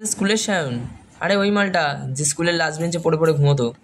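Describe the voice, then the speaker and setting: A man's voice: on the high side, quick pace, slight background noise, natural voice. A man playing a chummy, persuasive young school friend, colloquial and coaxing, in a dramatized story.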